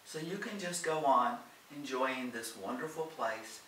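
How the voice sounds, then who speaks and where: A man reading the part of a relentless, warm-hearted older woman in a storybook, insistent and pleading. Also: mid-range voice, unhurried, reverberant, recorded from a distance, slight background noise, lightly acted, low-quality recording. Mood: indignant, determined.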